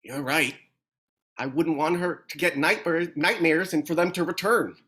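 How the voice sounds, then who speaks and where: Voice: medium pitch, moderate pace, fairly bright tone, close to an everyday voice. Timid, flustered old man, acted out. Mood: dejected. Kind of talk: drama script table read.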